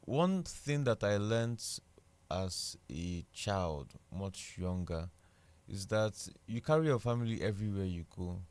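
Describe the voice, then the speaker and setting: A young man with an Igbo Nigerian accent, a guest in a relaxed radio interview, thinking aloud as he talks. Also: lowish voice, unhurried. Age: young adult. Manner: thoughtful, repeats words while searching. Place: radio studio.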